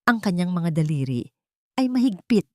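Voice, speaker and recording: A woman's voice: lowish voice, very animated, moderate pace, dark tone, dry recording, studio quality. A woman narrator reading a scripted story in a dramatic style.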